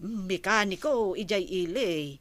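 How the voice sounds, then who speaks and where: A woman's voice: medium pitch, a little slow, fairly bright tone, no echo, close to an everyday voice. A woman playing a nosy, irritable village wife who nags and gossips, in a radio drama with an Ilocano accent. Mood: gossipy. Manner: nagging, exclamatory, gossipy. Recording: noticeable background noise.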